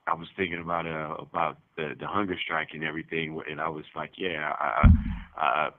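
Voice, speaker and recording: Very deep-voiced man on muffled phone. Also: level tone, fairly quick. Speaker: prison inmate calling in as guest. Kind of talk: casual phone chat. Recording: muffled, lo-fi prison phone line.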